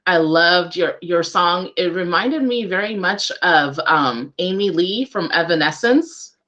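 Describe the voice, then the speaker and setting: A woman's voice: on the low side, animated, unhurried, fairly bright tone. A woman hosting a casual radio interview, speaking in an effusive, encouraging way.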